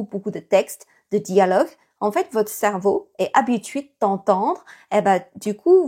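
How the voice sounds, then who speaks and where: Breathy woman teacher. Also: mid-range voice, a little mellow. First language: Chinese. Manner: many fillers, thoughtful, encouraging. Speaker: Chinese teacher. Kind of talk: casual video lesson.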